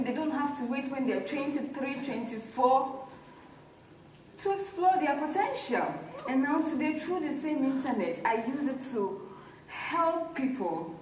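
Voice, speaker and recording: A young woman giving an emphatic motivational stage talk, pausing for dramatic effect. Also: high voice. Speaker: conference speaker, organization founder. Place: large echoey hall. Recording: very echoey hall, distant mic, faint noise.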